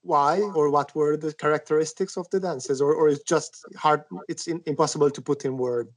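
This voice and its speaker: Halting man with a higher voice, interviewer. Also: fairly even intonation. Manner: halting, repeats words while forming questions. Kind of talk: conversational interview questions.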